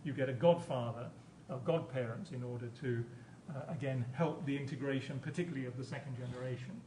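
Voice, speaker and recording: Male professor, hesitant lecture delivery with repeated words, mid-pitched, in an echoey room. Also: fast, mellow. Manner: hesitant, repeats words and phrases while explaining. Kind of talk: academic conference lecture. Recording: echoey room, distant mic, background noise.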